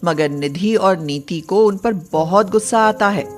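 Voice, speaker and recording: A woman's voice: medium pitch, a little slow, soft background music. A woman narrating a scripted story as the storyteller.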